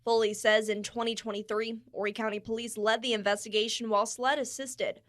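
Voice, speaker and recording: A woman's voice: fairly high pitch, little pitch movement, a little fast. A woman narrating a scripted TV news report as a reporter.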